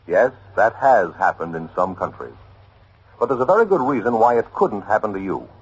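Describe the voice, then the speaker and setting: A man's voice: on the low side, breathy edge, rough recording. A man reading a dramatic scripted public service message in vintage radio style, earnest and emphatic.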